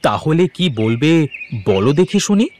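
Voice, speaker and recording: Guy doing cartoon poor milk farmer, stubborn haggler, highish n super lively. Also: moderate pace. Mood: wary, stubborn. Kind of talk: Bengali moral cartoon voice acting.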